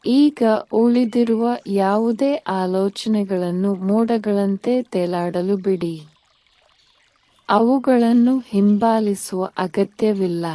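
Female meditation guide: soft-toned, dark and mellow, very slow scripted delivery with soothing pauses. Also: mid-range voice, dry recording. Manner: soothing, unhurried, instructing in short phrases with pauses.

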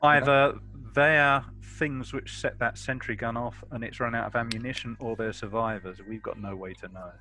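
A man's voice: medium pitch, fairly even intonation, moderate pace, hardly any pauses, sharp tone, poor audio. A man talking casually as a player in a tabletop roleplaying game.

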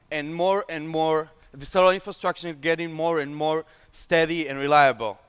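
A young Israeli man, a startup founder on stage, pitches with lively gestures and slightly broken English. His voice sits a bit high and sounds smooth and resonant. The sound carries a long reverb from a large hall. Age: young adult.

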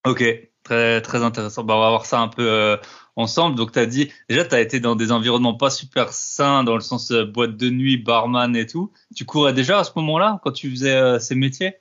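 A man from Alsace hosting a podcast interview, asking questions and answering with quick "ok, top" affirmations. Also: medium pitch, a bit bright. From Alsace, France. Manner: friendly, short affirmations. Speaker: podcast host. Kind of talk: casual interview. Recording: dull lo-fi call audio.

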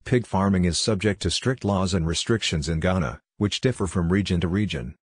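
A man narrating a scripted farming guide in a steady, even reading voice. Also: low voice, a little fast, husky, no echo, clean studio sound.

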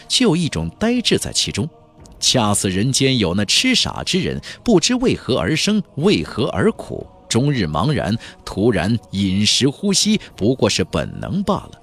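Low-voiced male audiobook narrator, very animated. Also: slightly rough. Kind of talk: dramatic scripted narration of a fantasy novel. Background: background music.

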